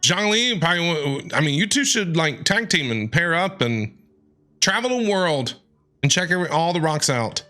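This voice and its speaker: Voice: mid-range voice, animated, moderate pace, sharp tone, faint music behind. A streamer giving casual, teasing, slangy commentary while reacting to a game. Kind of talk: casual playful gaming commentary.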